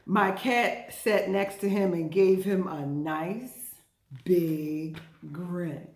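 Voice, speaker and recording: A woman's voice: fairly low pitch, steady tone, drawn-out words, a little mellow, roomy sound. A woman hosting storytime for young children, playful and chatty, putting questions to her listeners as she goes.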